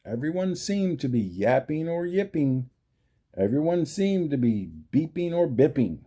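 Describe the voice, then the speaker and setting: A man reading a picture book aloud to children, emphatic, deliberate and theatrical. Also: mid-range voice, warm tone. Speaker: storybook reader for children. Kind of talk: animated read-aloud storytelling.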